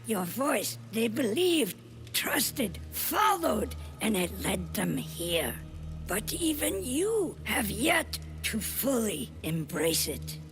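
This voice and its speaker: A solemn, mystical female spirit delivers portentous guidance in a game cinematic. The voice sits fairly high and swings widely in pitch, with extremely drawn-out, emphatic pacing and a commanding gravity. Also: sing-song, soft background music. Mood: grave warning. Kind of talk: dramatic animated game cinematic acting.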